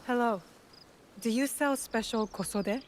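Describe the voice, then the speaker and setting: Woman playing a poised, bold young female merchant, higher-voiced. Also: measured pace, lightly acted. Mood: direct, determined. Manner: poised, polite, direct. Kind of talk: video game cutscene acting. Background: background music.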